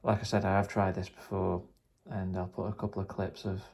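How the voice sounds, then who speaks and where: A man's voice: low voice, little pitch movement, brisk, creaky, darkish tone, husky. A man explaining things casually and tentatively in an unscripted, rambling vlog. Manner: tentative, self-deprecating, rambling. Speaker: vlogger, hobbyist trying out radio gear.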